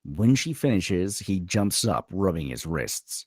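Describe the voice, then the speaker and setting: A man's voice: low voice, big pitch swings, takes it slowly, a little mellow, no echo, studio quality. A man reading screenplay stage directions as dramatic scripted narration.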